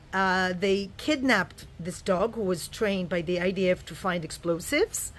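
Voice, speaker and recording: A woman talking in an opinion vlog, emphatic and rambling, repeating words for stress. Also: medium pitch. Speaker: vlogger.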